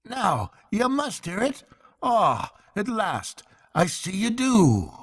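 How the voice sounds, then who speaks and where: A man's voice: sits high, wildly swooping pitch, slow pace, slightly rough, pitched above the performer's own voice, exaggerated voice. A man voicing a rapt, lilting storybook water rat. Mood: joyous, excited. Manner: exclamatory, reverent. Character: rapt, joyous water rat, breathless with wonder. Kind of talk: storybook character voice.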